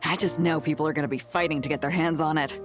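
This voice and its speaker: A woman's voice: sits low, fairly even intonation, fast talker, lightly acted. Woman playing a bold, breezy sea captain. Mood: proud. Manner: breezy, confident, colloquial. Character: bold, hearty female sea captain, young adult. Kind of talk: video game character voice acting. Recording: music playing behind.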